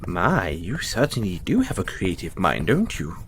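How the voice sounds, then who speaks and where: A man voicing a refined male playwright in a one-sided audio roleplay, speaking in a theatrical, drawn-out way and dropping low on each "dear boy". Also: huge pitch swings, a little hiss or hum, heavily acted. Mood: affectionate.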